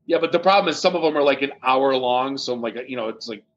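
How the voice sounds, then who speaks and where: A man's voice: mid-range voice, quick pace, fairly bright tone, dull audio. An American man co-hosting a casual tech talk show in a blunt, sardonic, offhand manner, swearing casually. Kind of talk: casual chat.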